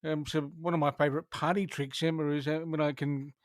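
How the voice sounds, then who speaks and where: A man's voice: a little high, little pitch movement, fairly quick, no echo, studio quality. A man hosting an interview, chatting casually and rambling with hesitations. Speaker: interviewer host.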